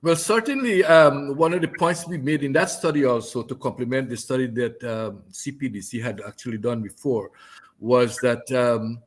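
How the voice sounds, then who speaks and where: A man's voice: mid-range voice, moderate pace. A man speaking as a guest on a radio interview, hesitant and searching for words. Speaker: guest economist.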